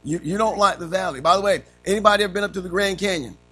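A man teaching Sunday school in a folksy way, his voice a little raspy from allergies, dropping in amens often. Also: sits high, racing, bright, forceful tone, faint music behind. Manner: punctuates with frequent amens, calls to the class.

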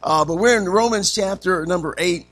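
A man teaching Sunday school in a folksy way, his voice a little raspy from allergies, dropping in amens often. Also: high-pitched, moderate pace, a bit bright, faint music behind. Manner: punctuates with frequent amens, calls to the class.

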